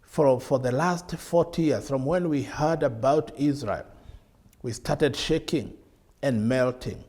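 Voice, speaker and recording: A middle-aged Kenyan man, a bishop, teaching a televised sermon from a studio desk with earnest rhetorical questions and drawn-out emphasis. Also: mid-range voice, darkish tone.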